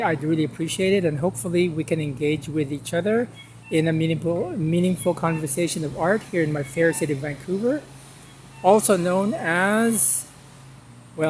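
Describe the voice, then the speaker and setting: A man's voice: a little high, slight background noise, low-quality recording. Chatty man around sixty, raised voice. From Philippines. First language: Tagalog. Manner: chatty, discursive, with frequent um and uh. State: slightly stuffy from sinuses. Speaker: art channel host. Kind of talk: casual gallery walkthrough vlog. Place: city street outside, then indoors in a gallery.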